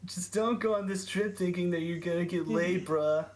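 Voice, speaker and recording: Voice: mid-range voice, moderate pace, crowd noise, voice pushed up, close to an everyday voice. A reader voicing a redheaded teenage boy, a blunt, slangy bro who talks with drawling mock seriousness. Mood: blunt, mock serious.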